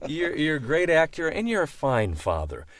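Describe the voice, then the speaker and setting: A man's voice: fairly high pitch, wildly swooping pitch, normal speed, slight background noise. A man co-hosting a podcast with casual, playful, teasing banter. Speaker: podcast co-host.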